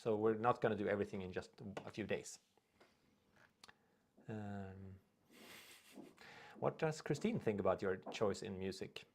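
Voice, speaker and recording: A man's voice: on the low side, unhurried, creaky, pauses often, a little mellow, a little hiss or hum. A young Swedish man, speaking English as a second language, hosting a casual livestream. He rambles, reads viewer comments aloud and trips over his words. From Sweden. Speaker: livestream host. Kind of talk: casual live chat reading viewer comments.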